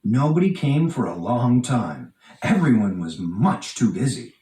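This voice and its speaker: Animated male storybook narrator in an echoey room. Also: medium pitch, unhurried. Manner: expressive, dramatic emphasis on key phrases. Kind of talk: animated read-aloud children's storybook narration. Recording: roomy, echoing, distant from mic.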